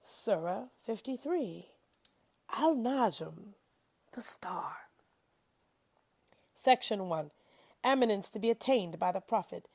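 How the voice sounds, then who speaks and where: A woman reading religious scripture aloud expressively, with measured pauses and dramatic emphasis. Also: mid-range voice, gravelly, husky, no echo. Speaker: reader of scripture.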